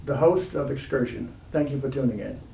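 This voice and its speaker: A man hosting an informal interview show, speaking haltingly with frequent pauses mid-sentence. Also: mid-range voice, expressive, creaky, mellow. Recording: roomy, distant mic, faint background noise.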